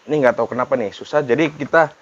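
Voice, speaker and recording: A man's voice: medium pitch, racing, lo-fi sound. A man giving a casual, informal product review, repeating himself as he chats to viewers like a vlogger. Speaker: vlogger reviewing motorcycle helmet intercoms.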